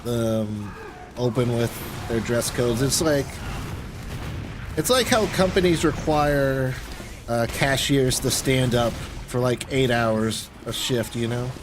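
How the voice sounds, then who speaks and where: A man's voice: mid-range voice, takes it slowly, background noise. An American man chatting casually on a game livestream, rambling in a conversational way. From United States. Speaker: game streamer. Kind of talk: casual livestream chat while gaming.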